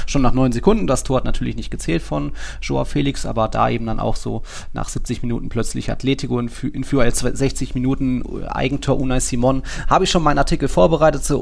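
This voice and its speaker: A man's voice: medium pitch, creaky. A man in casual sports discussion, as host of a football podcast.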